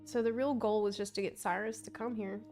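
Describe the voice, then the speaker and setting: A woman's voice: medium pitch, fairly even intonation, brisk, a little mellow, faint music behind. A woman streaming a video game, giving casual live reactions.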